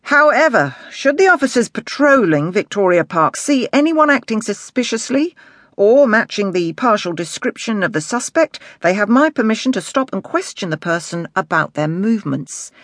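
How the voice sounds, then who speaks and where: A man performs a brash male detective inspector for an audiobook. The voice is raised and forceful, blunt and used to being obeyed, with a very dry, close sound. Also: high voice, sing-song, moderate pace, no echo, voice pushed up, exaggerated voice. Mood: commanding. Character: brash, commanding male police detective inspector, cheeky charmer. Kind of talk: audiobook character dialogue.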